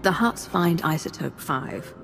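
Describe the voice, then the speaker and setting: Imperious villainess for a video game: lowish, lively inflection, clipped, cold, commanding, threatening. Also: female voice, a little slow, creaky, heavily acted. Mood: confident, cold. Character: cold imperious female dark lord, confident and threatening. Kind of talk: scripted video game acting. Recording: game music behind the voice.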